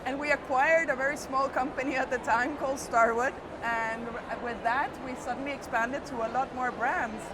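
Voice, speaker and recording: A middle-aged woman from Spain, an executive telling her career story in a relaxed interview, speaking fluently with some fillers. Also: high voice, normal speed, hardly any pauses. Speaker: hotel company executive. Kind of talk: conversational interview. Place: busy trade show floor. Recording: loud background noise at a trade show.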